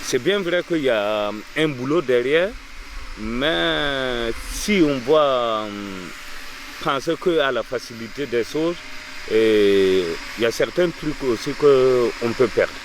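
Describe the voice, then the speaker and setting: A man's voice: mid-range voice, animated, slow pace, slight background noise. A man from Burkina Faso, leading a bronze-casting workshop and talking in a documentary interview, hesitant and full of fillers. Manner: hesitant, with many fillers and restarts.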